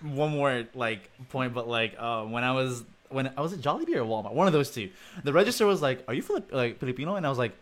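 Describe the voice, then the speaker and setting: A man's voice: mid-range voice, lively intonation, quick pace. A young man hosting a casual chat, reading out listener comments and laughing often. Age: young adult. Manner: laughs often, upbeat and chatty. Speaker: host.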